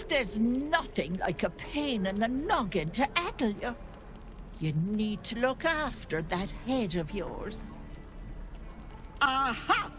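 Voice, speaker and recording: Lilting, doting old auntie. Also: female voice, medium pitch. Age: older. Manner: folksy, coddling, doting endearments. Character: kindly fussing old village healer auntie.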